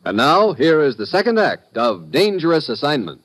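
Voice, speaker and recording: A man's voice: fairly high pitch, wildly swooping pitch, moderate pace, very noisy. An American man making scripted announcements in 1950s radio style.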